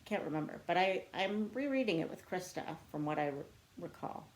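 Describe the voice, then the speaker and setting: A woman's voice: on the low side, animated, brisk, slight background noise, poor audio. A woman book vlogger chatting casually to camera in a chatty, self-deprecating way. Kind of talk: casual chat to camera answering book tag questions.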